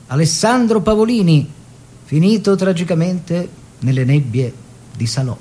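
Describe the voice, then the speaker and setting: Proud, stubborn painter husband, swooping intonation. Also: male voice, a little high, expressive, a little slow, warm tone. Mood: reflective. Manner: opinionated, bickering, fussy. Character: proud, stubborn Italian painter husband who loves food. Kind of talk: theatrical two-hander, letters and dialogue.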